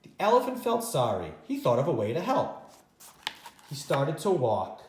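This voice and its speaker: Animated man reading a storybook, roomy sound. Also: fairly high pitch, slight background noise. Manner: expressive, dramatic pauses and repetition for young children. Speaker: teacher reading a children's story. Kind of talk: animated read-aloud storytime narration.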